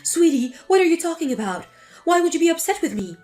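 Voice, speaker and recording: A woman's voice: very high voice, big pitch swings, fast, darkish tone, faint music behind. A woman voicing a spiteful, scolding older mother-in-law in a scripted text-story drama. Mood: defensive. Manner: scolding, contemptuous.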